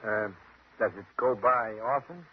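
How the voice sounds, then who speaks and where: An actor playing a guarded, secretly murderous tough guy in a 1950s radio drama, talking in a terse, halting, evasive way. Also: medium pitch, lightly acted. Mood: uneasy. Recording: muffled, noisy old radio recording.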